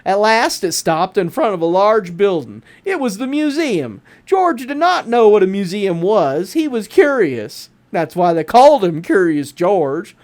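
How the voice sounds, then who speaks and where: A woman reading a children's picture book aloud as an expressive bedtime story, drawing out words for emphasis. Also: mid-range voice, measured pace, few pauses. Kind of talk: animated read-aloud bedtime story narration.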